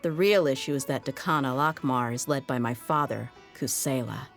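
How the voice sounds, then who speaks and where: A low-pitched, world-weary young woman mercenary. She speaks frankly, with dry wit, as if in video game story dialogue. Faint music plays behind her. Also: moderate pace. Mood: exasperated. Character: tough, jaded young woman desert mercenary.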